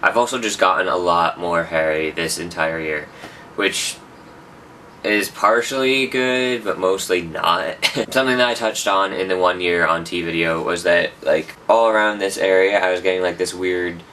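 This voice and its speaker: A man's voice: low-pitched, normal speed, sharp tone, recorded from a distance, a little hiss or hum. A young man rambling through a casual personal update, often correcting himself. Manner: rambling, self-correcting, chatty. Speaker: vlogger.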